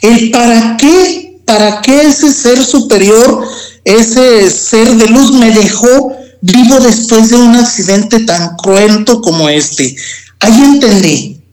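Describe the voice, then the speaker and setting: Slow-talking Mexican man, reflective. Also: very high voice, darkish tone, rough recording. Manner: reflective, emphatic repetition. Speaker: guest telling a personal testimony. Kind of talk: personal testimony in conversation.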